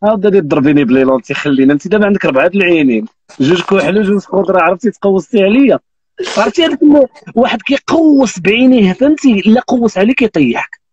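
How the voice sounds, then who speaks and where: Fast, animated Moroccan man joking. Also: sits high, lo-fi sound, slight background noise. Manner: teasing, rapid storytelling, frequent oaths. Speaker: live stream host. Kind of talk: joking casual chat. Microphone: phone on live video call.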